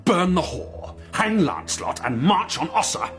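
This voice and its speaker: A man's voice: sits high, big pitch swings, unhurried, a bit bright, faint music behind, heavily acted. An actor playing a hot-headed, scornful male warrior, blunt and eager for battle, in a radio drama. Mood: angry, rousing.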